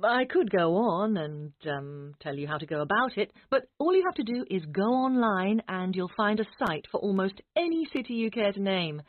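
Mid-pitched woman lecturer, narrow phone-like sound. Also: very animated, moderate pace, hardly any pauses, dry recording. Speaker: college teacher. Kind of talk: explanatory academic talk, conversational. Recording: thin phone-like audio, dry.

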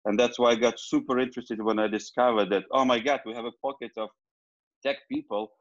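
A man's voice: medium pitch, steady tone, fairly quick, no room sound. Casual rambling man from ukraine, dull lo-fi call sound, dry. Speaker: meeting participant. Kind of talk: casual self-introduction on a call.

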